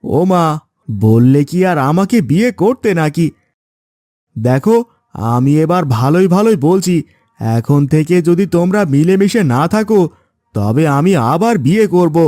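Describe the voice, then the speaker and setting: Man acting a high-pitched exasperated farmer husband. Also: big pitch swings, a little slow, long pauses, mellow, no echo, studio quality. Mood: firm, insistent. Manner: complaining, theatrical, emphatic. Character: exasperated village farmer husband with quarrelling wives. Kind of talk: cartoon fairy-tale voice acting.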